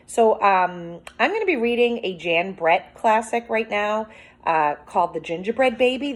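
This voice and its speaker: A woman with a medium-pitched voice talks like a teacher leading a read-aloud. She explains as she goes in an instructive way, addressing her listeners like a class of children. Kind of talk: picture-book read-aloud with commentary and questions to children.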